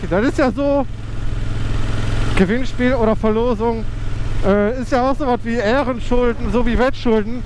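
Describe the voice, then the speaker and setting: A man's voice: high-pitched, darkish tone. A man chatting while riding a motorcycle, rambling with many fillers, recorded on a helmet camera mic. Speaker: motovlogger. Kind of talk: casual chat while riding. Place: riding a motorcycle outdoors on town roads. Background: faint engine and road noise.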